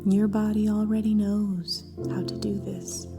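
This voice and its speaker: A woman leading a scripted guided meditation in a gentle, soft voice with breathy phrase endings and long pauses between short phrases. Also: mid-range voice, level tone. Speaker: therapist guiding a meditation. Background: background music.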